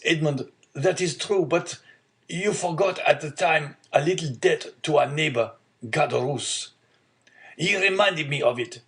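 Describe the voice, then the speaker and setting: A frail old father from a classic storybook, put on by a man reading aloud. He speaks in a raised voice, meek and halting. His manner is gentle and self-denying, always placating. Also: a little high, pitched above the performer's own voice. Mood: meek, apologetic.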